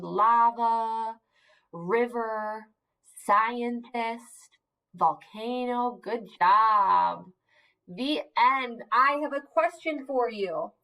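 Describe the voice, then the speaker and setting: A woman teacher reading aloud to young children, expressive and encouraging, using simple emphasized words and pausing to ask questions. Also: fairly high pitch, rough recording. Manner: encouraging, asks questions and pauses for answers. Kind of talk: interactive read-aloud lesson for young children.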